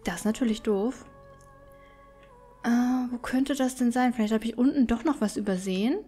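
A woman's voice: fairly high pitch, moderate pace, warm tone, faint music behind. A woman giving casual, chatty gameplay commentary, thinking aloud as she plays. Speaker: let's player.

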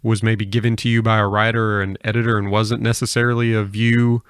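A man hosting a podcast interview, putting long, measured questions in a casual way. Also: fairly low pitch, level tone, clean tone, no echo, clean studio sound.

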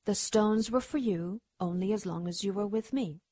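A woman's voice: mid-range voice, moderate pace, warm tone, husky, no echo, studio quality, natural voice. An actress playing a seductive, imperious demon queen in a radio drama, cruel and mocking, moving between honeyed coaxing and haughty command.

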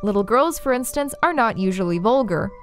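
Woman, scripted narration, playfully sarcastic, a touch high-pitched, faint music. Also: expressive, brisk. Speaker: video essay host. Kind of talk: scripted video essay narration. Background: faint background music.

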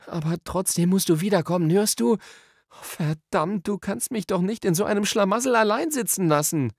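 A man's voice: high-pitched, normal speed, no room sound, clean studio sound, pitched above the performer's own voice. A man voicing an anxious, stubborn young boy in a storybook, pleading and insistent. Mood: urgent. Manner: urgent, insistent.

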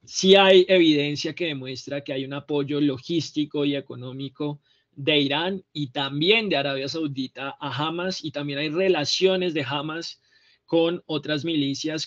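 A man's voice: a little high, unhurried, a bit bright, no echo. Man explaining with rhetorical questions. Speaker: panel speaker. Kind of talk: academic talk. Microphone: webcam on a video call. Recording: dry, dull lo-fi call audio.

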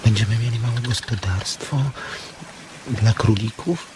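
An actor playing a simple-minded, trusting grown farmhand in a radio drama, childlike and pleading. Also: male voice, lowish voice, measured pace, background noise, close to an everyday voice. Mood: hopeful, eager. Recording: music clearly behind.

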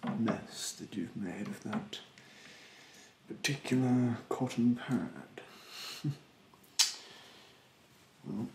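A young man doing a soft-spoken ASMR roleplay in a breathy, hushed voice, politely snide and condescending with drawn-out, hesitant phrasing. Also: medium pitch, animated, roomy sound, noisy background. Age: young adult. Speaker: ASMR performer playing a rude facial therapist. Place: bedroom, indoors.

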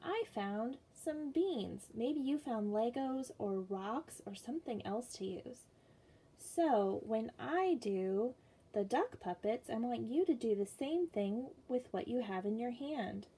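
A young woman teacher reading a picture book aloud and counting slowly for young children in a small room, patient and sing-song. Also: a little high, animated, warm tone, slightly rough.

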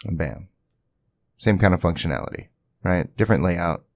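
A man giving a casual software tutorial in a conversational way, with chatty asides, as the creator of the video. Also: deep voice, animated, normal speed, gravelly, dry recording. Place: indoors at a home PC, voice-over to screen capture.

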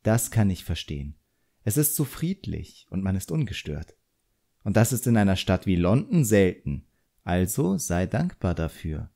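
A man's voice: deep voice, sing-song, creaky, dark tone, exaggerated voice. Man voicing a composed, frank woman drawing teacher. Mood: calm, encouraging. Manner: instructive, measured, pointed.

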